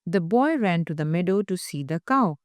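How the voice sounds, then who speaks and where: A woman's voice: lowish voice, animated, moderate pace, no echo, clean studio sound. A woman reading a children's picture book aloud as scripted story narration.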